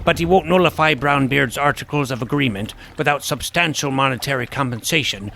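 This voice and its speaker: A man playing a brisk, teasing pirate first mate with salty seafaring talk in a fantasy audio drama. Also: mid-range voice, gravelly, a bit bright, a little hiss or hum. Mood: formal, matter-of-fact.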